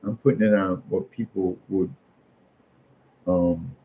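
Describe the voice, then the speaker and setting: Middle-aged American man, folksy and opinionated casual talker with a gravelly fry, on muffled lo-fi audio. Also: low voice, fairly even intonation, takes it slowly. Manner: folksy, opinionated, repeats phrases for emphasis. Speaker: street artist. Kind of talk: casual rambling monologue. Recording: muffled lo-fi audio with faint noise.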